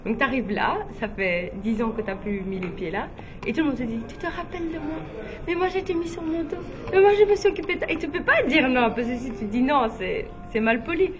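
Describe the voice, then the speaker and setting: A young woman from Guinea telling personal stories in a relaxed, chatty way, with frequent fillers and light laughs. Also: sits high, brisk, over music. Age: young adult. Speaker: interviewee, beauty pageant runner-up.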